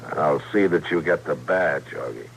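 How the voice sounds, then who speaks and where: An actor playing a calm, weary American frontier marshal in an old radio Western, speaking in a measured, terse, quietly persuasive way. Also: male voice, extremely low pitch, gravelly, heavily acted. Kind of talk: old-time Western radio drama acting. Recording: noisy vintage radio recording.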